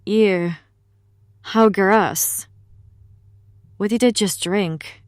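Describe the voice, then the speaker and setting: Unhurried, blunt woman investigator, acted. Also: medium pitch, clear, resonant tone, no room sound, studio quality. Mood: disgusted. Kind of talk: audio drama acting.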